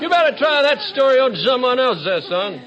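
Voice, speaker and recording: An actor playing an amused, skeptical city passerby in an old-time radio comedy. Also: male voice, extremely high pitch, very animated, brisk. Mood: amused, dismissive. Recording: muffled old radio recording, crowd behind.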